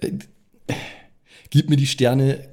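A man's voice: medium pitch, flat delivery, takes it slowly, dark tone. A man who plays on the show, chatting casually in improvised comic banter and often stammering and repeating phrases. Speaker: player on the show.

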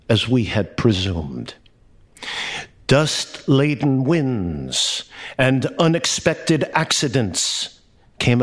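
A man reading a literary short story aloud in a measured, expressive and deliberate way. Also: medium pitch. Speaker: story reader.

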